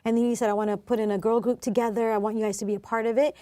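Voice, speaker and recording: A woman's voice: a little high, level tone, racing, warm tone, dry recording, clean studio sound. A woman guest telling her personal story in a casual, chatty way, with bits of light laughter. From Philippines and New Zealand. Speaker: interview guest, singer and worship director.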